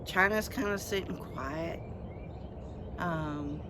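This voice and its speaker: Unhurried, slightly scratchy vlogger outdoors. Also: medium pitch. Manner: rambling, pausing, unhurried. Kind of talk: casual outdoor chat to viewers. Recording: outdoor noise, passing vehicles.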